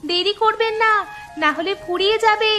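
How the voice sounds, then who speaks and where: Kind young village girl for a cartoon, really high bright voice, earnest. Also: a little fast, faint music behind. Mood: calling out. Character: kind, curious young village girl who sells mangoes. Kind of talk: cartoon storytelling voice acting.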